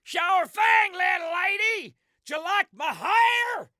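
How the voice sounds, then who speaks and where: Shouting, brash Texan good old boy. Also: very high-pitched, animated, slow pace, no room sound, clean studio sound, heavily acted. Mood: cocky. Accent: mock Texan. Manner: cocky, folksy. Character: brash Texan politician, dim cocky cowboy type. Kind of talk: comic political impression.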